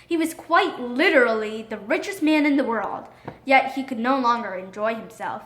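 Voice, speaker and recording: Female narrator for children's storybook, high voice, very expressive and dramatic, recorded in an echoey room. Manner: expressive, dramatic read-aloud delivery. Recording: roomy echo.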